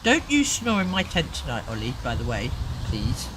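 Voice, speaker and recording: A woman telling an improvised bedtime story to a child, chatty and expressive, with asides to the listener. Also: extremely low pitch, normal speed, background noise. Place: in a tent.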